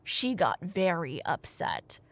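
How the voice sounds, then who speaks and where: A woman's voice: on the low side, slow pace, no room sound. A woman telling a story in a casual, rambling, conversational way, with wry asides. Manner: animated, conversational, wry asides and rhetorical questions. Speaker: audio roleplay storyteller, playing a nerdy girlfriend.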